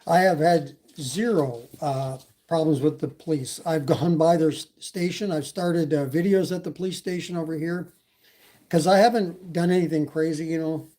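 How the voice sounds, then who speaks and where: A man's voice: a little high, moderate pace, mellow. A man from Canada with a slight Canadian accent, hosting a casual e-bike live stream and chatting with viewers, with lots of fillers. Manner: rambling, many fillers, reads chat. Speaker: e-bike channel host.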